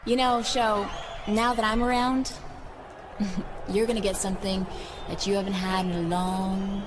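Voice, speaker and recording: A woman's voice: medium pitch, flat delivery, unhurried, noisy background, natural voice. An actress playing a flirty, scheming female wrestler who giggles as she talks.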